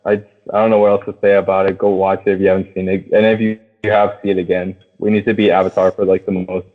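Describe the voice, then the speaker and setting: A man's voice: deep voice, flat delivery, fast. A young man co-hosting a podcast, chatting casually about film with enthusiastic, slangy, profane talk. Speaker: podcast co-host. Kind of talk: casual film discussion among friends. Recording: muffled phone-like radio sound, long reverb.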